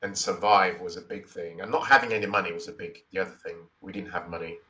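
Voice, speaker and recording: A man's voice: low-pitched, steady tone, fast talker, bright, forceful tone, far from the mic. A Nigerian man in his forties, telling his story as an interview guest in a thoughtful, reflective way with many "you know" fillers. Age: 40s.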